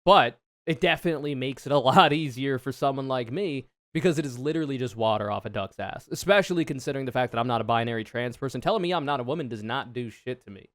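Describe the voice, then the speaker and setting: Deep, smooth-voiced streamer man, blunt. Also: fast, few pauses, dry recording, clean studio sound. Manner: frank, swears freely, thinks aloud. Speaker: streamer reacting to a video. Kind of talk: casual reaction commentary.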